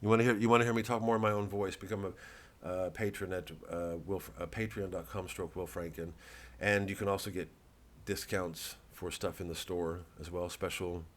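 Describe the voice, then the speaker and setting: A man's voice: deep voice, fairly even intonation, moderate pace, few pauses. A man, a comedian, talking casually to camera in a rambling way, with stammering restarts and free swearing.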